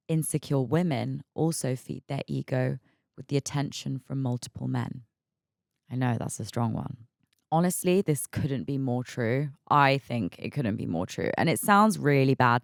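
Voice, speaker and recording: A raspy, hungover woman in her mid-twenties hosting her own podcast, chatting candidly, laughing often and repeating words as she talks. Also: very deep voice, dry recording, studio quality. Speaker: podcast host. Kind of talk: casual solo podcast chat.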